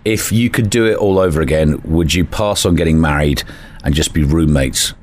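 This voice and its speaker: A man's voice: rumbling low, normal speed, a little mellow. A man hosting a radio breakfast show, joking and laughing through a prank phone call. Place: radio studio.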